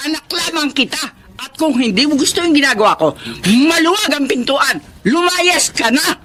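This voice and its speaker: A woman playing a harsh, sharp-tongued village mother and folk healer who scolds her grown daughter in a radio drama. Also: high voice, lively intonation, normal speed. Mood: angry scolding.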